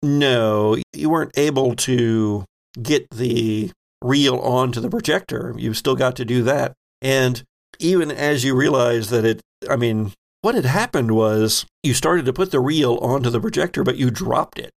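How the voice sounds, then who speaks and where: Gravelly man running a tabletop game. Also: mid-range voice, measured pace. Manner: descriptive, playful storytelling with pop-culture asides. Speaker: game master. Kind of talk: improvised narration of a tabletop horror-comedy game.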